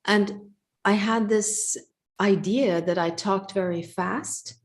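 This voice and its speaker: A middle-aged woman co-hosting a live-stream conversation over a video call, speaking slowly and calmly, almost meditatively. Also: mid-range voice. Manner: slow, calm, thoughtful, almost meditative. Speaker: co-host and coach.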